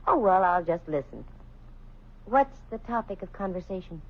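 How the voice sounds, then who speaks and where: A wisecracking lady private eye in old-time radio, quick with a comeback. Her voice rises and falls with plenty of life. It has the bright, brisk delivery of 1940s radio acting. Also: fairly low pitch, expressive, muffled recording, slight background noise. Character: sharp-tongued female private detective, confident and wisecracking. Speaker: private detective.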